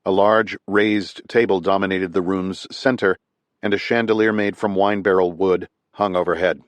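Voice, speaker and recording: A man narrating a thriller audiobook in a steady, even reading. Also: sits low, normal speed, no room sound.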